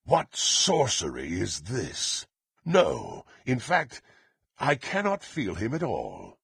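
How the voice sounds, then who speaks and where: A man playing a murderous, proud warrior in a fantasy video game, with a gravelly, raspy voice and archaic, haughty, theatrical delivery. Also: lowish voice, wildly swooping pitch, slow, a bit bright, no room sound, full character voice. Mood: haughty, brooding. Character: murderous, menacing, proud warrior serving a dark lord.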